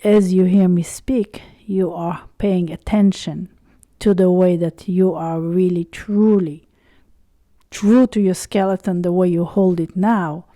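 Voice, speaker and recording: A woman guiding a meditation in a soothing, unhurried way, pausing often between short phrases. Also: lowish voice, warm tone, no echo, studio quality. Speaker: meditation guide. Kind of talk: slow guided body-scan meditation.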